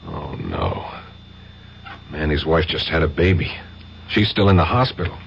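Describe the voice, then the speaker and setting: An actor playing an affable, world-weary federal detective in a 1970s radio drama. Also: male voice, extremely low pitch, very animated, a little slow, gravelly, exaggerated voice. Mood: weary, grim. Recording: dull, noisy 1970s radio recording.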